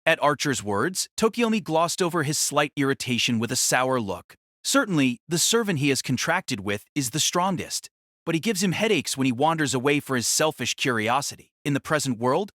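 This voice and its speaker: A man's voice: fairly high pitch, big pitch swings, fairly quick, a bit bright, dry recording, studio quality. A man reading scripted dramatic story narration as the narrator of an audio drama.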